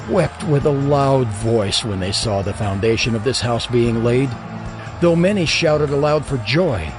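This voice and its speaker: A man narrating scripture in a solemn, expressive reading. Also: medium pitch.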